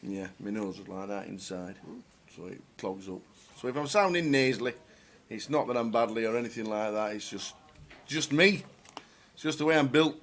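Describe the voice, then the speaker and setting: A man's voice: on the low side, animated, normal speed, a little hiss or hum. Nasal male co-commentator, casual and jokey. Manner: chatty, jokey banter. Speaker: poker commentator. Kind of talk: casual live-stream commentary.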